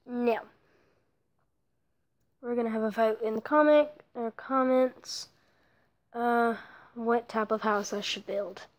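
A woman giving casual commentary over her own mobile block-building gameplay, rambling and often dropping into soft whispered asides, recorded on her phone. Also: a little high, fairly even intonation, slow, long pauses. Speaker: let's play creator.

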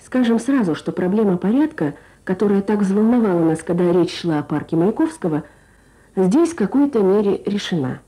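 Female Soviet-era radio reporter, mellow timbre, lively melodic scripted delivery. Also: mid-range voice, moderate pace, poor audio. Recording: archive radio broadcast with music.

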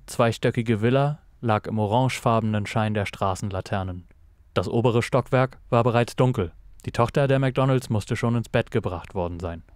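A man narrating a scripted noir audio drama in a measured, dramatic storytelling manner. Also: on the low side, darkish tone, no room sound, studio quality.

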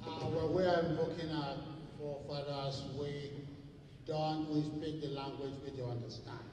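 Older man, solemn, in a booming hall. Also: fairly even intonation, background noise. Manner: solemn, drawn-out delivery. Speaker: libation speaker at a gala. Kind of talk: ceremonial prayer and speech. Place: large hall, on stage. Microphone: handheld mic. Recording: very echoey hall, distant mic.

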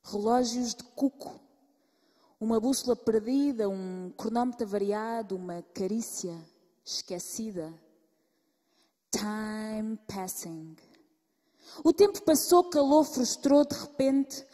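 A woman performing slam poetry rhythmically, moving between drawn-out words and rapid runs. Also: a little high, animated, mellow. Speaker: slam poet. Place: live stage in a reverberant hall. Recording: reverberant hall, live stage.

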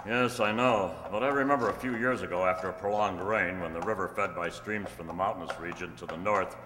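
Man acting a low-voiced masked cowboy hero. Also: brisk, pushed and bright. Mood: grave, thoughtful. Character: resolute masked cowboy hero, earnest and commanding. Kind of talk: old-time radio drama acting, read from script. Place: stage in a hall. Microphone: stand microphone. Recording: echoey hall, noisy room ambience.

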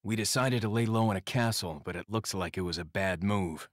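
Game lead: man playing cool young American hero, lowish voice, terse, sardonic. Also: fairly quick, no echo, studio quality, close to an everyday voice. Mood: wry. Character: cool, wry young male action hero. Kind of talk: video game drama acting.

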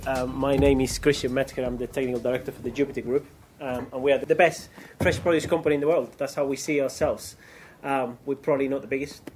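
A man's voice: mid-range voice, steady tone, fast talker, hardly any pauses, darkish tone, soft background music. A man from Chile with a Chilean accent, giving a keynote business presentation with frequent ums and restarts. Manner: hesitant, many ums and restarts. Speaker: keynote speaker.

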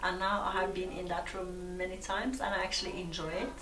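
A woman interviewing a musician, asking questions in a gentle, low-key way. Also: level tone, a little fast, far from the mic, crowd noise. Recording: roomy, from off-mic.

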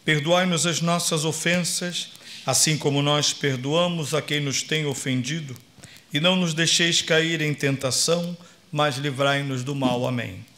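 A man reciting repeated rosary prayers in a monotone devotional style. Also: a little high, measured pace.

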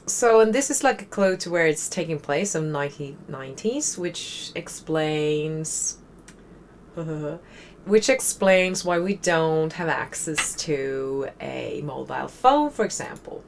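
A woman's voice: sits low, animated, slow, creaky, a little hiss or hum. A young woman with a Swedish accent, casually commenting while playing a game, chatty and rambling with wry asides. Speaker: let's play host.

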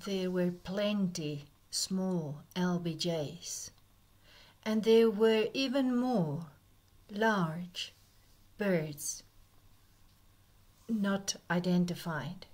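A woman narrating a birding slideshow as a scripted voice-over, gentle and reflective, with soft breathy asides. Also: lowish voice, drawn-out words, long pauses. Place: voice-over recorded apart from the pictured outdoor scenes.

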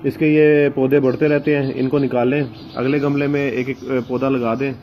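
A man's voice: mid-range voice, level tone, slight background noise. A man in an easygoing outdoor gardening vlog, his voice caught on a handheld phone with dull, muffled sound. He talks in a mid-range voice, stopping often with filler sounds, and faint open-air ambience sits behind him. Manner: hesitant, many filler sounds and pauses. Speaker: gardening channel host. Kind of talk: casual home gardening vlog. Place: outdoors among potted plants.

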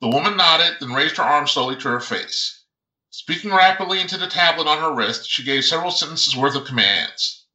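A man's voice: a little high, lively intonation, brisk, sharp tone. A man, an author reading his own science fiction novel aloud as dramatic narration, stumbling over a word now and then. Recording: roomy, echoing sound.

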